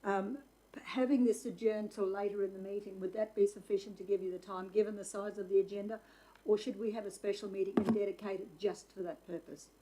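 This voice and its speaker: A woman, a councillor, asks a measured, courteous question in a council meeting. Her voice is mellow and warm. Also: mid-range voice, steady tone, rough recording. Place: council chamber.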